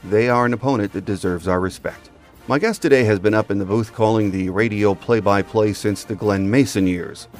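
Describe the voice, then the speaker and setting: A man's voice: on the low side, brisk, faint music behind. A man hosting a sports podcast, delivering a scripted commentary monologue. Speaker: podcast host.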